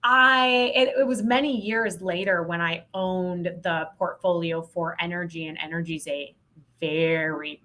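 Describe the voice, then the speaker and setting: A woman with a somewhat low voice talks as an interview guest. She is candid and emphatic, explaining her work in an informal, conversational style peppered with casual filler words. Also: fairly even intonation, unhurried, bright, forceful tone. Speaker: podcast guest, sales consultant.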